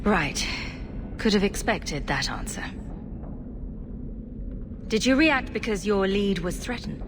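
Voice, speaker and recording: Casting: level-headed young heroine for a game, medium pitch, questioning, compassionate, noisy background. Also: female voice, sing-song, takes it slowly. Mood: calm, probing. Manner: composed, questioning, diplomatic. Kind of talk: video game acting.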